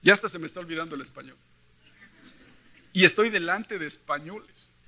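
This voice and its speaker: High, bright-voiced Mexican preacher man. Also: fairly quick. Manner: animated storyteller, acts out quoted dialogue; emphatic, with rhetorical questions to the listeners. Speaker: preacher at a church convention. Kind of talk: sermon mixing Bible reading and personal stories.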